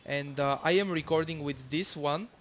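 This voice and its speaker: A man's voice: fairly high pitch, normal speed, slight background noise. A young man from Bulgaria, with a Bulgarian accent and Bulgarian as his first language, casually commentating his own match, rambling, swearing freely and correcting himself. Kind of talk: casual commentary over gameplay.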